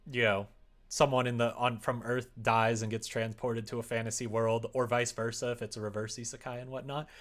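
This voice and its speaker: A man chatting casually on a livestream while he works, thinking aloud in a rambling, hesitant way. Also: mid-range voice. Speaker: livestreamer making a visual novel. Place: indoors at home.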